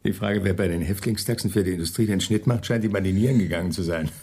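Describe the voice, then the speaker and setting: Coarse grumbling Nazi crony, very breathy husky low voice, irritable, radio play. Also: male voice, quick pace, darkish tone. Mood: irritated, sneering. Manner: sneering, grumbling. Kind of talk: German radio play acting.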